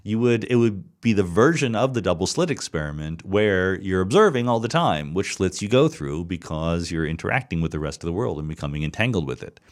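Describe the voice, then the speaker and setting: Deep-voiced man explaining physics. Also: lively intonation, brisk, hardly any pauses. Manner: thoughtful, explains step by step with repeated words and restarts. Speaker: podcast host answering listener questions. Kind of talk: solo explanatory monologue.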